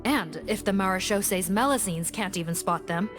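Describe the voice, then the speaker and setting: A woman's voice: mid-range voice, moderate pace, music in the background, lightly acted. A woman voicing a dutiful, cautious law officer in a video game, formal and firm. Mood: frustrated.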